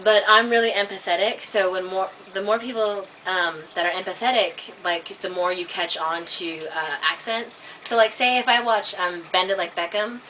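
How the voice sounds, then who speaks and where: A woman vlogging in a casual, rambling monologue to camera, full of "like" and "um", getting animated and high when worked up. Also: normal speed, hardly any pauses. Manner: chatty, filler-heavy, many "like" and "um" restarts. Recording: distant webcam mic with faint hiss.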